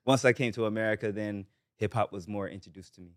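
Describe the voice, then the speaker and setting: A man's voice: fairly low pitch, fairly even intonation, fast, no room sound, clean studio sound. A man from Barbados, guest on a podcast, chatting casually and easygoing, often adding "you know what I'm saying.". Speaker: guest musician.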